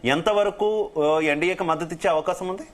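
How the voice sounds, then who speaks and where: A man's voice: high-pitched, fairly quick. A man anchoring live news and putting questions to a reporter.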